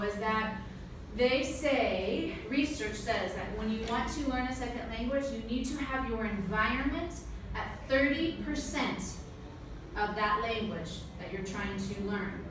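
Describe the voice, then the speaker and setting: Woman, teacher, interactive lesson, slightly high pitch, distant mic, very echoey room. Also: lively intonation, measured pace, background noise. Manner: asks the audience questions and checks with "right?". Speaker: teacher giving a talk to language students. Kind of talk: interactive classroom talk.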